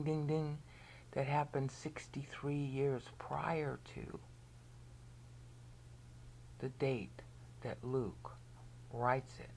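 A woman's voice: low voice, a little hiss or hum. A woman with an American accent giving a rambling Bible lesson over a screen share. She often checks in with "okay" and sometimes sinks to a near whisper. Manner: drops to a near whisper at times. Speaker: Bible teacher.

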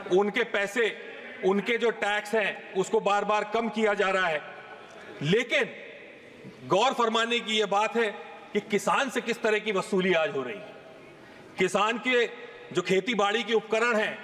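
A man's voice: sits high, a bit bright. A man in his thirties giving an emphatic parliamentary speech full of rhetorical questions, speaking into a desk microphone in a large chamber. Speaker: member of parliament. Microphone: fixed desk microphone. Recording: long hall reverb from chamber.

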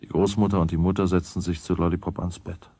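A man with a husky voice reading scripted storybook narration in a radio play. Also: low voice, level tone, quick pace, warm tone, no room sound.

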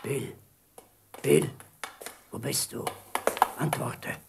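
An actor playing a sinister blind pirate in an audio drama, slow, taunting and menacing, with a creaky voice. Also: male voice, medium pitch, expressive, very noisy, heavily acted. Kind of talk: German audio drama acting.

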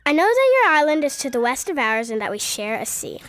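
A woman's voice: sits high, big pitch swings, fast talker, fairly bright tone. A female actor playing an earnest, eager Puerto Rican girl violinist in an audio drama.

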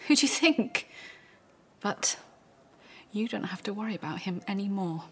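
An actress playing a concerned, blunt friend in a radio drama, hushed and matter-of-fact. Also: female voice, medium pitch, lively intonation, moderate pace, husky.

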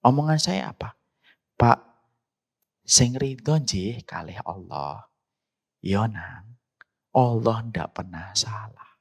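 A man's voice: lowish voice, animated, slow pace, creaky, long pauses, breathy edge. A middle-aged man with a Javanese accent preaching in a conversational way, speaking directly to his listeners as he tells and explains. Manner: conversational, addresses listeners directly with frequent asides. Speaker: Islamic preacher. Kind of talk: sermon with personal storytelling.

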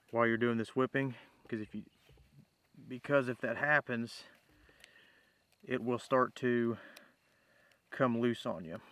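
A man with a bright, forward voice at an ordinary middle pitch talks through a hands-on tutorial. He is informal and plain-spoken, explaining each step as he works outdoors. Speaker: bushcraft demonstrator, host. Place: outdoors in woodland.

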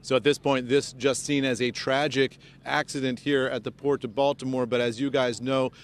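A man's voice: flat delivery, moderate pace. A man in his fifties with an American accent reporting live as a news correspondent into a handheld microphone outdoors. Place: outdoors by a highway among press crews. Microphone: handheld microphone held close. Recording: outdoor live report, rumbling background noise. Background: low rumbling outdoor noise.

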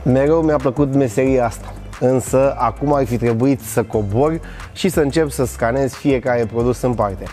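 A man's voice: mid-range voice, a little mellow, faint music behind. A man in his thirties presenting and demonstrating a product in a large warehouse, upbeat and scripted. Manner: upbeat, demonstrating while walking and working.

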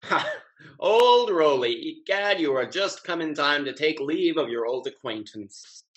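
An actor playing a carefree, impulsive young gentleman in a period comedy, with exuberant, declamatory delivery over a video call. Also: a little high, animated, unhurried. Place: indoors at home, video call.

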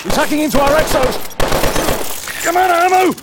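An actor playing an aggressive, urgent male soldier in a video game, forceful and shouting. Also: very high voice, moderate pace, heavy background noise. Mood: urgent, angry. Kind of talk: dramatic video game voice acting.

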